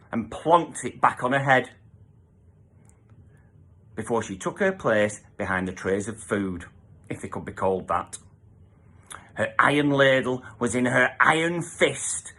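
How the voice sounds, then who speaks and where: A man's voice: medium pitch, a bit bright. A man reading a children's story aloud as a theatrical narrator, with emphatic sound-effect words. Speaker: storybook reader.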